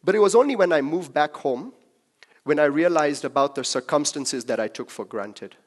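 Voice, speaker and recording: Young man, from Kenya, relaxed talk speaker, chatty with the audience. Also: a little high, moderate pace. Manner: conversational, jokes with the crowd. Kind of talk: personal talk to a live audience.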